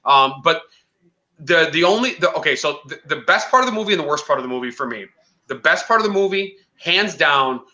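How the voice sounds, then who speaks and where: A man in his forties chatting casually on a show, animated, opinionated and quick to joke. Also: on the high side, dull audio. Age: 40s. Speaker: podcast co-host. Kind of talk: casual chat.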